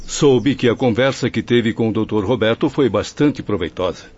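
A man's voice: lowish voice, moderate pace, a little mellow, breathy edge. A Brazilian man acting in a radio drama as a formal, inquisitive professor. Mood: curious. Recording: slightly muffled radio drama recording.

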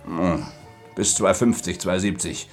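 An actor in a German radio play playing a shrewd, chatty restaurant manager who calculates aloud in colloquial short phrases. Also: male voice, deep voice, fairly even intonation, measured pace, soft background music. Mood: calculating.

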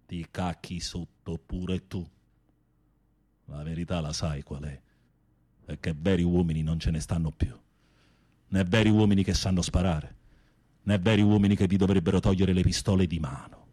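A deep, husky, wistful male prisoner. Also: steady tone, normal speed, long pauses, dark tone. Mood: bitter. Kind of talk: radio drama acting.

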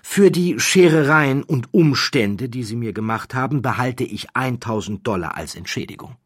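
A male narrator plays a suave gentleman con man of the audiobook kind, a count who is never flustered. His voice is lifted and husky, with a polished, nonchalant insolence. The sound is close and dry. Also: medium pitch, expressive, moderate pace, no room sound, voice pushed up. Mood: brazen, drawn out.

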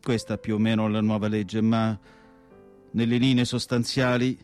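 An actor playing an elderly, melancholy asylum doctor and writer in a measured, solemn radio theatre monologue with pauses between short phrases. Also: male voice, fairly low pitch, flat delivery, darkish tone, natural voice. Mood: wistful, contemplative. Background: instrumental music.

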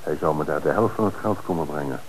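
A stammering, cornered bank director. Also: male voice, very deep voice, fast talker, poor audio. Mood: confessing. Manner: stammering, evasive, defensive. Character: flustered middle-aged male bank director caught lying. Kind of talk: radio drama acting. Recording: old radio play with faint hiss.

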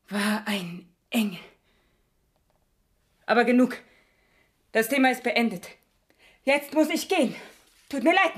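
An actress in a radio play playing an unhinged, possessive murderess, taunting and dramatic, turning from sweet to venomous. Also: female voice, fairly high pitch. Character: unhinged murderess, possessive, mocking, chillingly sweet about killing.

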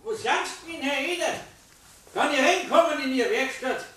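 An actor playing an exasperated, scolding Bavarian customer in a children's radio play. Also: male voice, very high-pitched, normal speed, fairly bright tone, reverberant, low-quality recording. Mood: puzzled. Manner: grumbling, threatening scolding.

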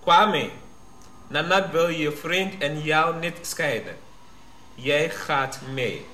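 A man's voice: on the high side, takes it slowly, pushed and bright. An actor playing the solemn spokesman of an Ashanti king in a radio drama, formal and ceremonious. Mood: solemn, proclaiming. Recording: roomy sound with faint noise.

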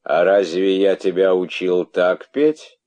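A man's voice: extremely low pitch, sing-song, gravelly, husky, dry recording, heavily acted. A man playing a wrathful, drawling rabbit king. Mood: grim, angry. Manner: grim, commanding, sarcastic, drawn-out words. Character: stern, wrathful rabbit king interrogating his subject.

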